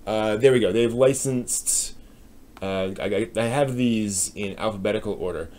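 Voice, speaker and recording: A man with an American accent hosting a live stream, giving a casual news rundown in a hesitant, halting way as he thinks aloud. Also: on the low side, a little mellow. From United States.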